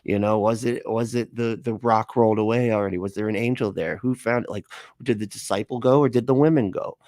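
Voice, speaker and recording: A man's voice: on the low side, steady tone, fairly quick, a little mellow, no room sound, clean studio sound. A man giving casual, rambling and argumentative reaction commentary as a livestream host.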